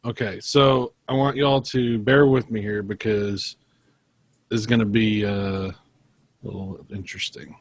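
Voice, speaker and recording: Slow, gravelly low-voiced man. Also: steady tone. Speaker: podcast co-host. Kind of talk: casual chat and dramatic story reading.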